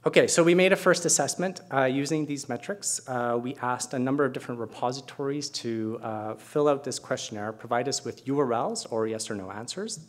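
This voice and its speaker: Male, middle-aged, mid-pitched voice, academic keynote speaker, explanatory, conversational asides. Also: brisk, few pauses. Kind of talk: academic conference presentation. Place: lecture hall at a podium, indoors.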